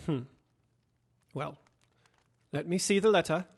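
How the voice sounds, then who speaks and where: A man acting a cautious, concerned gentleman lawyer in an audio drama, formal and questioning. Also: on the high side, slow, gravelly, husky, exaggerated voice. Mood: doubtful, concerned.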